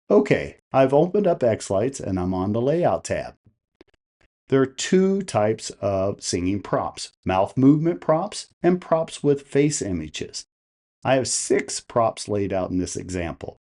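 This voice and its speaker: Mellow, animated male tutorial host. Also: medium pitch. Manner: step-by-step explaining, poses questions to the listener. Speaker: host of a how-to video on holiday light displays. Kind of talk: instructional tutorial narration.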